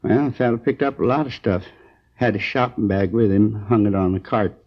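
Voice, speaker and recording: A gravelly old American man in his sixties, a hurt and drowsy storekeeper. He rambles in a halting, folksy, earnest way, on a dull 1950s crime drama recording. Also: on the low side, steady tone. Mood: groggy, hazy. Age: 60s. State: groggy, in pain.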